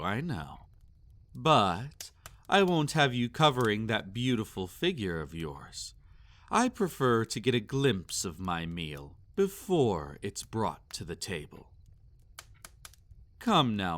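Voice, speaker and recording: A man playing a sadistic, possessive vampire master in a roleplay audio, speaking formally and condescendingly with silky menace and drawn-out commands. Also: fairly low pitch, a bit bright, full character voice. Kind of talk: roleplay audio acting, intimate and theatrical.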